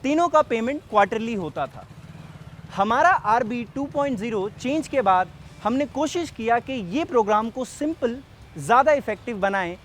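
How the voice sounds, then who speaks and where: High-pitched earnest salesman acted by a man. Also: a little hiss or hum. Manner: explanatory, addressing the listener directly. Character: earnest, persuasive male pharmaceutical sales rep. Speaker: presenter and sales representative. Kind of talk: scripted training film narration and role-play.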